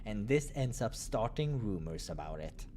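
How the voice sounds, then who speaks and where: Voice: mid-range voice, big pitch swings, normal speed, mellow, voice pushed down, close to an everyday voice. A performer voicing an eager, polite young animal apprentice hero for a visual novel game. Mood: polite, nervous. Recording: clear background noise.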